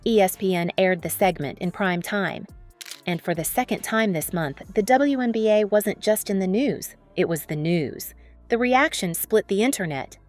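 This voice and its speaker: A woman's voice: mid-range voice, lively intonation, normal speed, a little mellow. Woman narrating dramatic sports story over music.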